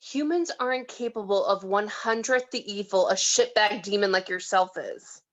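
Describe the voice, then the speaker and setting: A woman's voice: mid-range voice, moderate pace, muffled recording, lightly acted. A woman playing a defiant, foul-mouthed mortal who challenges a demon bluntly, in an amateur script table read.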